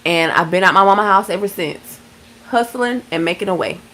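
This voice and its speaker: A woman in her thirties from Georgia, hosting a casual livestream and chatting with viewers. She is laid-back, swears freely and keeps giving shout-outs. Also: mid-range voice, a little fast. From Georgia, USA. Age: 30s. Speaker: livestream host.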